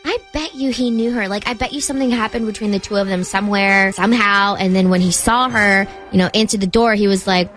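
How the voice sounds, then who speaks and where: A woman's voice: mid-range voice, fast, few pauses, fairly bright tone, faint music behind. A woman co-hosting a radio show with casual banter.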